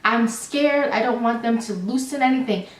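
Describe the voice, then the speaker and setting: A young adult woman telling a storytime vlog in a chatty, animated, rambling way with many fillers. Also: fairly high pitch, moderate pace. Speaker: vlogger. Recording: roomy, slightly distant mic.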